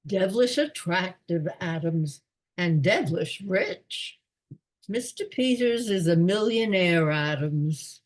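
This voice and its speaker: Radio comedy role: genial, forgetful elderly aristocrat played by a woman, pompous musings, unhurried delivery, slightly low register. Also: expressive. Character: doddering, absent-minded elderly English lord, genial, rambling. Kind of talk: radio play comedy acting.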